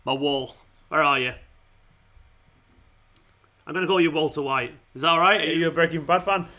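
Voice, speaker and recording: Male football stream host, bright and fairly high voice, informal and matey, slightly worn from talking. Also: moderate pace. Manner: informal matey address, often saying "man", "mate" and "pal". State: losing his voice. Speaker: fan channel livestream host. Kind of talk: football watchalong stream, casual chat with viewers.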